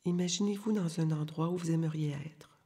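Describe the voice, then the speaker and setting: A woman leading a guided yoga nidra meditation, giving calm, soothing, unhurried instructions with long pauses in a soft, breathy voice. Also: deep voice. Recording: long reverberant hall-like echo.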